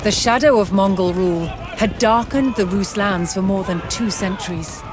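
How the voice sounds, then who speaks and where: A woman's voice: mid-range voice, crowd noise. Solemn woman narrator over music. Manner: measured, solemn storytelling. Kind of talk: scripted documentary-style game narration. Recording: music bed behind the narration.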